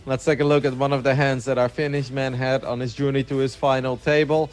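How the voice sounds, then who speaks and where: A man hosting a poker broadcast, briskly introducing players and handing off to his co-host. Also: medium pitch, flat delivery, faint music behind.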